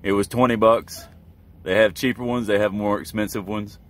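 A man's voice: on the low side, fairly even intonation, normal speed. A middle-aged American man, a truck driver, giving a casual, plain-spoken how-to while recording inside his truck cab. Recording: background noise in truck cab.

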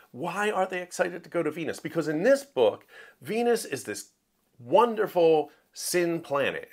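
A man hosting a review show, talking in a casual, opinionated monologue and getting emphatic when he makes a point. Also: mid-range voice. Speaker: host of a book review show.

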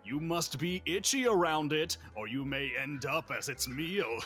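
A man's voice: a little high, lively intonation, a little slow, over music. A man chatting casually as a guest player in a party game. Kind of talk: casual chat, hesitant answering.

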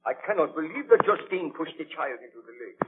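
A man's voice: on the high side, very animated, fairly quick, background noise. An actor playing a dignified, worried nobleman who speaks formally in a 1930s radio drama. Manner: formal, commanding. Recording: tinny lo-fi 1930s radio transcription.

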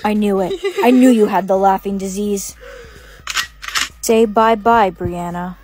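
A woman's voice: medium pitch, steady tone, measured pace, noisy background, close to an everyday voice. A woman playing stern, dramatic characters in a melodramatic skit, with an emphatic, theatrical delivery. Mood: accusing. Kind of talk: short skit acting, melodramatic.

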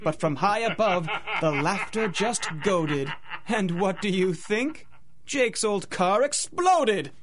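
A man's voice: high voice, lively intonation, takes it slowly, over a noisy crowd. A man narrating a radio drama in theatrical, sing-song rhyming storybook verse.

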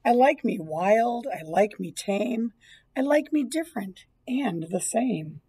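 Higher-pitched, slightly husky woman reading a storybook. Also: lively intonation, slow, warm tone. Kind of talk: children's storybook read-aloud. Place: small indoor school room or library.

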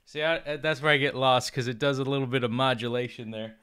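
A young man chatting casually on a live stream, jokingly boastful, reading chat aloud and trying out halting beginner Portuguese in a small home room on a close studio mic. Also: mid-range voice, steady tone, music in the background. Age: young adult. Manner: jokey, playfully boastful, mixes in halting learner Portuguese. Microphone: studio mic on arm with headphones.

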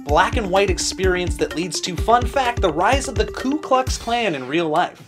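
A man's voice: sits high, animated, moderate pace, over music. A man in his thirties hosting a comedic quiz game and reading clues aloud. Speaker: game show host.

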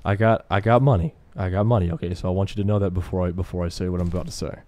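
Deep, bright-voiced man streaming a game. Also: fairly even intonation, rapid-fire. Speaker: game livestreamer. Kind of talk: casual livestream gameplay commentary.

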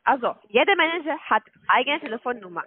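A woman's voice: a little high, big pitch swings, a little fast, slightly rough, dry recording. A non-native woman with a foreign accent, arguing dismissively and repetitively as a call centre agent over a tinny phone line. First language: not German.

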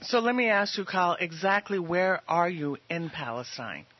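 A woman's voice: lowish voice, big pitch swings, normal speed, lo-fi sound. A woman hosting a radio show, leading the interview and recapping the guest's points. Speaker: radio host.

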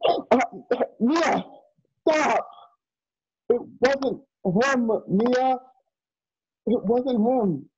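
A man's voice: high voice, a little mellow, breathy edge, exaggerated voice. An actor playing a tough, protective male gunfighter in a Western radio play. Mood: urgent, pleading. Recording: dull home video call audio.

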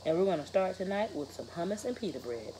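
A serious mother laying down the rules to her teenage son, acted by a woman reading aloud. The tone is mellow and firm, in a storybook style with faint noise. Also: lowish voice, lively intonation, quick pace, close to an everyday voice, low-quality recording. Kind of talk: children's novel read-aloud.

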